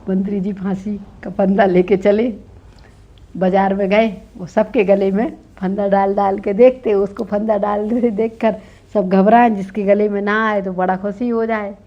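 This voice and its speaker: A woman's voice: mid-range voice, dark tone. A woman narrating a folk tale informally, in a conversational way that checks in with the listener. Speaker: storyteller. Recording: dull lo-fi recording.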